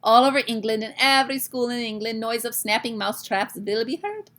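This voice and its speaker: A woman voicing an imperious, child-hating Grand High Witch for a children's storybook, commanding and emphatic. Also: lively intonation, a little fast, fairly bright tone. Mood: gloating.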